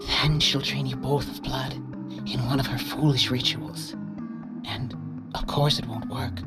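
A man voicing a small, soft, sorrowful presence hidden in the dark, with a strained, hushed and hesitant whispery voice, in an audiobook. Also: mid-range voice, voice pushed up, natural voice. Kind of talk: audiobook character voice.

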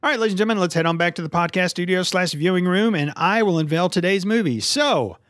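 A man's voice: sits high, expressive, quick pace, a bit bright, no room sound, clean studio sound. A man in his fifties hosting a movie podcast, chatting casually and swearing freely. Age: 50s. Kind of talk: casual, profane podcast chat.

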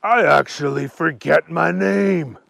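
A man voicing a big, gentle, forgetful dragon in a children's story, slow and drawn-out with booming exclamations. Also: gravelly, dry recording, heavily acted. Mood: puzzled.

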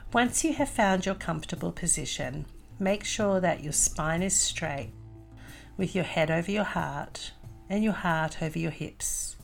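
A woman leading a guided meditation as host, calm and soothing, in deliberate phrases with long pauses. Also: fairly low pitch, gravelly.

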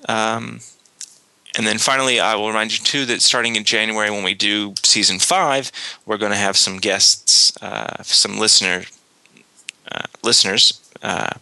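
A man's voice: lowish voice, creaky, pushed and bright, dry recording, low-quality recording. A man hosting a podcast, giving casual announcements with lots of fillers and restarts, a bit under the weather with a cold. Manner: rambling, many fillers and restarts. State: slight cold. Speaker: podcast host.

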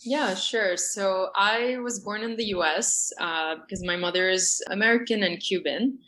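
A woman's voice: mid-range voice, measured pace, fairly bright tone, slight background noise, rough recording. A young woman who grew up in Syria, talking as a guest in an interview, with a rambling manner that often corrects itself. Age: young adult. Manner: rambling, self-correcting storyteller. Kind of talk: conversational interview.

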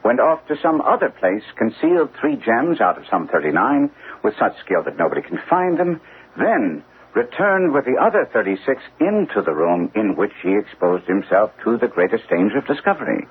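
An actor playing a composed, analytical gentleman detective in a classic radio drama, speaking in a deliberate, questioning way. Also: lowish voice, sing-song. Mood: incisive, measured. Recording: tinny, noisy old radio recording.

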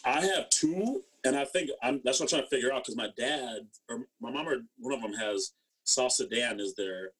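A man's voice: mid-range voice, moderate pace, fairly bright tone, husky, poor audio. A young man from East Africa talking casually as an interview guest, rambling and slangy, often checking that he's understood. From East Africa, born in Ethiopia. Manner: rambling, slangy, frequent "you know what I mean". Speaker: interview guest, former athlete. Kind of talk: casual conversational storytelling.

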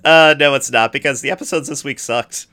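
A man's voice: mid-range voice, brisk, bright, forceful tone. A man co-hosting a podcast in casual chat, teasing and wry, often laughing. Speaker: podcast co-host.

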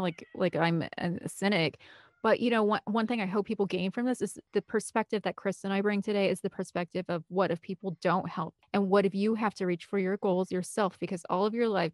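Woman being interviewed, rambly and opinionated, mid pitch, really dry close sound. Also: dry recording. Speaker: interview guest, film composer and director. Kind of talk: candid interview conversation.

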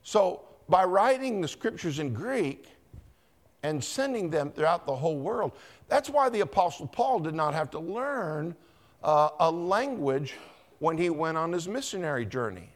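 Slow, lively-voiced pastor quizzing his flock. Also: a little high. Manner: teacherly, asks the congregation questions and calls on people by name. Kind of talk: interactive Bible teaching with quiz questions. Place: church.

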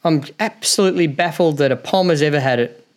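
An Australian man with an Australian accent, interviewing a guest and making dry, quick jokes. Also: a little high. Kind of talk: casual interview talk.

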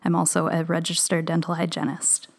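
A woman in her late twenties, a dental hygienist guest, explaining guidelines in a measured, informative way. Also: on the low side, completely flat delivery, vocal fry. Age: 20s.

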